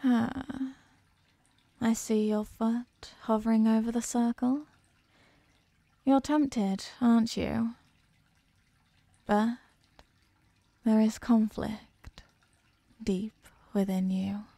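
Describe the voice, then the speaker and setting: A woman plays an ancient female eldritch being in a horror audio roleplay. She is possessive and seductively menacing, looking down on mortals as tiny things. The voice is low-lit and mellow, deliberate in every word, and very close and dry, as if nothing stands between her and the listener. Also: steady tone, creaky, dry recording, full character voice. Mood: coaxing, taunting. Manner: slow, deliberate, condescending, commanding. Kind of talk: scripted ASMR horror roleplay.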